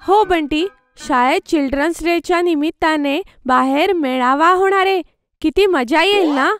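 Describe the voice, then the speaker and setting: Woman voicing an excited high-pitched little schoolgirl. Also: normal speed, soft background music. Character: eager young schoolgirl, bossy friend. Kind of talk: children's cartoon story acting.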